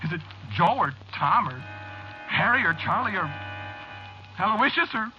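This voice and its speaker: An actor playing a frightened, desperate man in an agitated, halting way, in 1940s radio horror style. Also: high voice, lively intonation. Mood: desperate pleading. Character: frightened, desperate man begging a bartender to talk. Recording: muffled old radio broadcast with music behind.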